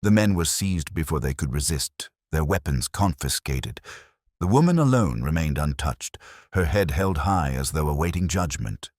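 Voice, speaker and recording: A man's voice: a bass voice, expressive, normal speed, darkish tone, no echo, studio quality. A man narrating a classic detective story from a script in a dramatic, formal storytelling manner. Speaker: audiobook narrator, reading as a first-person storyteller.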